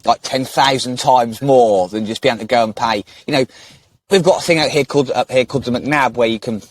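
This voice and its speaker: A man talking easily with a podcast guest, circling back and restarting his sentences as he goes. His voice sits at a middle pitch, and the recording is dry and close, with no room sound. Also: a little fast, no room sound. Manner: rambling, restarts often, friendly. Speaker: podcast host. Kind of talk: casual conversational interview.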